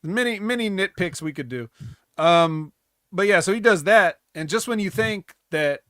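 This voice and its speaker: A man's voice: high-pitched, expressive, moderate pace, fairly bright tone, dry recording, studio quality. A man hosting a casual podcast, recapping in a rambling, wry way full of ums. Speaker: host.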